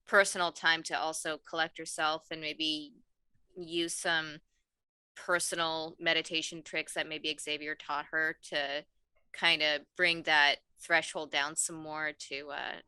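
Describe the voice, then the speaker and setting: Bright-voiced woman playing a tabletop game. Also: low voice, little pitch movement, smooth, dry recording. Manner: halting, informal, thinking aloud. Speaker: tabletop roleplaying player. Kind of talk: casual in-game description.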